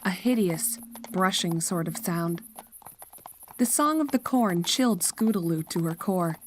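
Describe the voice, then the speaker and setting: A woman's voice: on the low side, measured pace, a little hiss or hum. A woman narrator reading a scripted horror story in a storytelling style.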